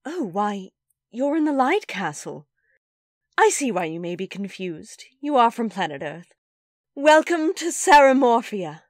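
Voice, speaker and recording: A woman's voice: fairly high pitch, big pitch swings, a little slow, no room sound, studio quality. A woman voicing a gracious, welcoming magical dog queen for an animation audition. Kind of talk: animated character audition lines.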